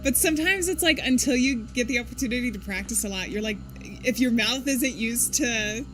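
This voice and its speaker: A woman with a fairly high voice chats through a live game stream, laughing often and calling out loudly at surprises. Game music plays clearly under her voice. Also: brisk. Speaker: streamer playing games.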